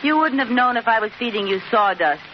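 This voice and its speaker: An actress playing a witty, meddling wife with quick, teasing comebacks in an old radio sitcom, on a muffled, noisy recording. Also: female voice, on the high side, animated, lightly acted. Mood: sarcastic. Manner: quick comeback banter, mock indignation. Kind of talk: 1940s-50s radio sitcom acting.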